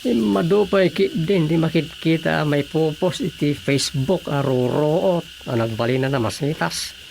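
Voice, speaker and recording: An actor playing a talkative provincial man in a radio comedy, with an Ilocano accent and a gravelly, folksy delivery. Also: on the high side, unhurried, darkish tone, slight background noise, low-quality recording. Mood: chatty, curious.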